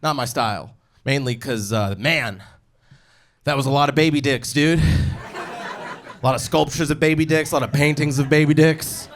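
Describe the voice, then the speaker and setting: California dude doing standup, voice a bit on the high side, slangy and conversational, swears a lot. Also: male voice, moderate pace, pauses often. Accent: Californian American. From United States, California. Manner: casual, profane, slangy, conversational. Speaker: stand-up comedian. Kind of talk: stand-up comedy storytelling to a live audience. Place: live comedy show with audience.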